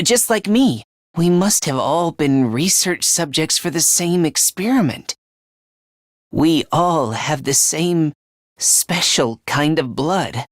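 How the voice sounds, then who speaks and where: Anxious, self-loathing teen boy for a video game; hesitant, apologetic, slow, dry close sound. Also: fairly high pitch, dry recording. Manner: stammering, hesitant, over-apologetic. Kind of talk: English video game voice acting.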